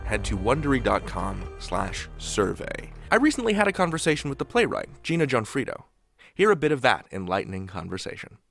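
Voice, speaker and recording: A man's voice: medium pitch, very animated, fairly quick, vocal fry, fairly bright tone, faint music behind. A male podcast host asking earnest, detailed questions in an interview. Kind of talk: interview and promo read.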